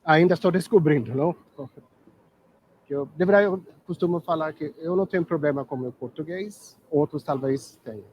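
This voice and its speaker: A middle-aged Swedish man with a Swedish accent, a guest in a casual chat, talking unhurriedly and pausing to search for words. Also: a little high, muffled recording, slight background noise. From Sweden.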